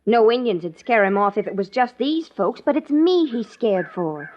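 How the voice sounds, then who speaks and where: An anxious young homesteader's wife, acted by a woman. Her voice is somewhat high, meek and pleading. The old radio western recording is muffled. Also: animated, moderate pace, close to an everyday voice. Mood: anxious, guilty. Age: young adult. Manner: pleading, self-blaming.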